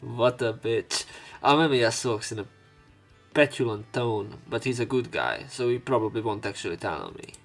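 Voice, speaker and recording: A man with a medium-pitched voice narrates a visual novel for a let's play. He slips between reading lines and informal, sweary commentary, with faint music behind him. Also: animated, moderate pace, vocal fry. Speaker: let's play host reading the game aloud.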